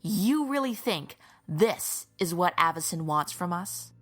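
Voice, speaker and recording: A woman's voice: lowish voice, lively intonation, unhurried, soft background music. A woman acting an outraged, devout warrior guardian of the church in a fantasy audio drama, confrontational and emphatic. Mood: outraged, pleading.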